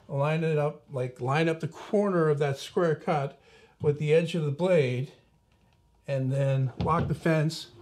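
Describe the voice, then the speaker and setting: A man's voice: on the high side, a little hiss or hum. A middle-aged man hosting a woodworking lesson, explaining casually as he works at the saw in his shop. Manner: relaxed, explanatory, talks while working. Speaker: woodworking channel host. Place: small woodworking shop indoors.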